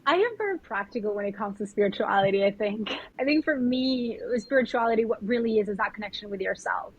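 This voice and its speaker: A woman's voice: mid-range voice, lively intonation, quick pace, breathy edge, no room sound. A woman with a Colombian accent, a spiritual mentor talking earnestly about her path in a podcast conversation, often repeating herself as she gathers her words. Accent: Colombian Spanish. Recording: dull lo-fi audio.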